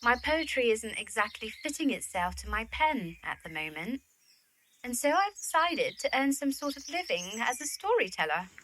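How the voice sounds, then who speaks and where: A woman's voice: on the high side, big pitch swings, normal speed, fairly bright tone, a little hiss or hum, low-quality recording. A woman playing a poised young widowed lady poet in a period audio drama, with theatrical, refined diction. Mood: airy, confiding.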